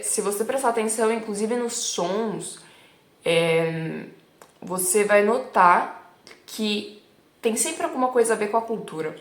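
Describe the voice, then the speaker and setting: A Brazilian woman from São Paulo with a São Paulo accent talks like a chatty teacher, emphatic and loose with tag words. Her voice sits at an ordinary pitch and rings in a roomy space with a clear echo. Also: a bit bright. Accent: São Paulo Brazilian Portuguese. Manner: chatty and emphatic, frequent "né" and "tipo" tags. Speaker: Italian teacher. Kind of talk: casual teaching vlog.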